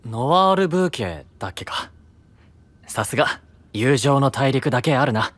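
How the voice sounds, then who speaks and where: A frank, caring young knight in an anime audio drama. His voice is husky and fairly high, with rough, casual phrasing and a dry, close sound. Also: animated, normal speed, fairly bright tone, no echo. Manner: rough, casual, direct speech. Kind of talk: anime-style voice drama acting.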